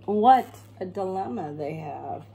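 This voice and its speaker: A woman reading a picture book aloud as an expressive storyteller, acting out the tale and explaining as she goes. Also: lowish voice, gravelly, a little hiss or hum. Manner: acts out characters and pauses to explain words. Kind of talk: expressive children's read-aloud.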